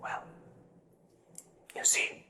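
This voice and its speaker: A man's voice: extremely slow, bright, forceful tone, exaggerated voice. A man playing a nervous, courteous doctor in a whispered ASMR mystery roleplay, speaking in formal, hesitant phrases. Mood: earnest, curious. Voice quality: breathy whisper. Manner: formal, hesitant, old-fashioned polite phrasing. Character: nervous, courteous, perplexed doctor aiding a murder investigation.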